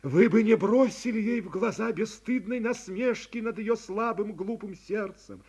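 A man's voice: high-pitched, measured pace, a little mellow, breathy edge, pitched above the performer's own voice, full character voice. A man voicing a fragile, heartbroken young woman in an audiobook, her speech tearful and climbing into anguished pleading. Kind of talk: audiobook reading of classic prose.

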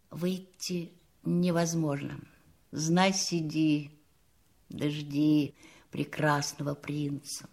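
A woman's voice: deep voice, heavily acted. An actress playing an elderly spinster lady in a drama, candid and gracious, with a reflective, teasing manner. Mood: wistful. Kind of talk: acted radio-play style dialogue. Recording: music playing behind the voice.

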